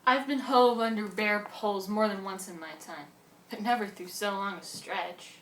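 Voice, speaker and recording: A woman acts a boastful, weathered brig captain in an amateur radio drama. The voice is bright and forceful with salty speechifying, recorded off mic in an echoey room with some noise. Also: mid-range voice, moderate pace, far from the mic, close to an everyday voice.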